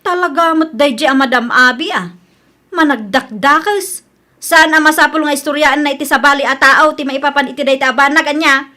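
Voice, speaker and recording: A high-pitched, bright and forceful Ilocano Filipino working woman, acted by a woman in a radio drama. She is slippery and defensive, stammering excuses and turning indignant under challenge. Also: a little fast. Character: defensive Filipino working woman caught stealing, evasive wife.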